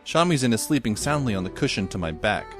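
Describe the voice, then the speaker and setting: A man's voice: mid-range voice, very animated, fast talker, faint music behind, natural voice. A man playing a wry, put-upon teenage boy, narrating in the first person for an audio drama. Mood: wry, observant.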